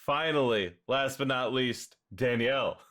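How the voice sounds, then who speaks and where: A person hosting a livestream with casual, sweary banter and a brisk, giggly manner. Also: mid-range voice, a bit bright, a little hiss or hum. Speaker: host.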